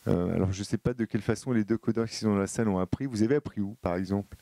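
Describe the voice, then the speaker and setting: A man's voice: lowish voice, very fast, dark tone, a little hiss or hum. A man with a French accent giving a live talk to an audience, hesitant and full of fillers and repeated words. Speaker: conference speaker.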